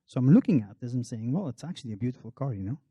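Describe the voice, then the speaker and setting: A man's voice: mid-range voice, animated, racing, dark tone, slightly rough, no echo. A middle-aged Belgian man giving a public talk, telling a wry, self-deprecating personal story. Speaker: marketing researcher on a panel.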